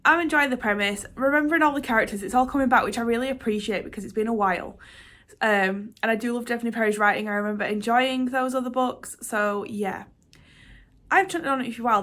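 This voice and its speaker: A woman's voice: a little high, brisk, fairly bright tone. A young woman talks casually to her camera, stuffed up with a cold. She rambles and restarts, dropping frequent ums as she catches up on her reading and her busy week. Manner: rambling, chatty, self-deprecating, with many "um"s and restarts. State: congested with a cold. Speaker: book vlogger. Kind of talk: casual chatty vlog to camera.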